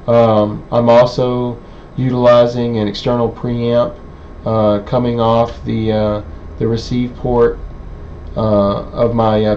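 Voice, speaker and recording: Guy explaining tech stuff, flat mellow voice, lots of hesitating, muffled with some hiss. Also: mid-range voice. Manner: halting, hesitant delivery with many pauses. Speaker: presenter, radio hobbyist. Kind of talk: informal technical demonstration. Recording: dull, lo-fi sound with faint background noise.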